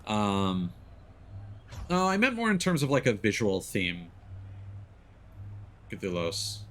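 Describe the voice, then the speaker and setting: Low-pitched man streaming a video game. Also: wildly swooping pitch, takes it slowly, fairly bright tone. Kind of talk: casual livestream gameplay commentary, explaining to chat. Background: music behind the voice.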